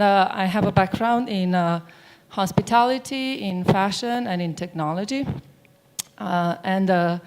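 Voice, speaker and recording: A woman's voice: medium pitch, a little mellow, clear, resonant tone. A middle-aged woman from Romania giving a conference keynote on stage, chatty and often asking the audience questions. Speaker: keynote speaker. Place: stage of a large conference hall. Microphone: mic on stage.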